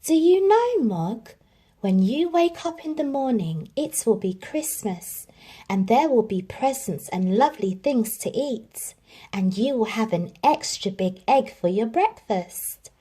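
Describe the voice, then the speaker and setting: Woman as an animated young girl, high and husky. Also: a little slow, full character voice. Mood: excited. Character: excited young girl in a children's storybook.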